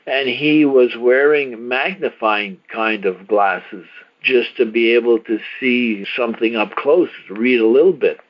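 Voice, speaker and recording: A man hosting a promotional conference call, talkative and emphatic, repeating points for listeners over a lo-fi call line. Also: medium pitch. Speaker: host of a success-story conference call. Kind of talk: promotional testimonial call talk.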